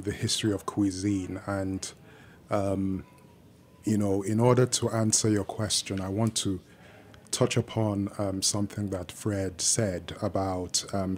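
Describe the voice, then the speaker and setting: A man from Nigeria, a native Yoruba speaker, talking slowly on a podcast and pausing often with ums. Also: low-pitched, darkish tone, slight background noise. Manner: slow and measured, many ums and pauses. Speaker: guest, architectural historian. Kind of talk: podcast conversation.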